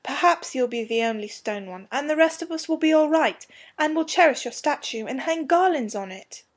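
A woman's voice: high voice, lively intonation, moderate pace, voice pushed up. A woman voicing a good-natured young boy who speaks kindly. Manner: kindly, matter-of-fact.

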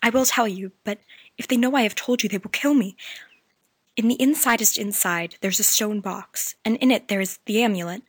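A man voicing a frightened, secretive young girl from ancient times, speaking in urgent, hushed pleas. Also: high-pitched, normal speed, no room sound, pitched above the performer's own voice.

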